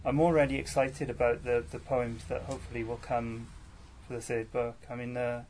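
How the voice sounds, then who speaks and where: Man, 30s, poet from Wales, halting live Q&A, self-deprecating, mid pitch. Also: little pitch movement, darkish tone, slight background noise. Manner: hesitant, many fillers and restarts, self-deprecating. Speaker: featured poet answering audience questions. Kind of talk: casual live Q&A at a poetry salon.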